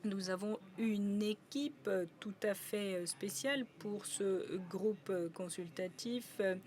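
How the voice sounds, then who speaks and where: A woman doing simultaneous interpretation at a conference, a steady relay full of brief hesitations. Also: medium pitch, takes it slowly.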